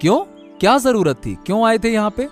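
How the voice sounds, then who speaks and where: A man voicing a concerned, excitable village man in a cartoon, questioning and emphatic. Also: high voice, brisk, faint music behind. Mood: indignant.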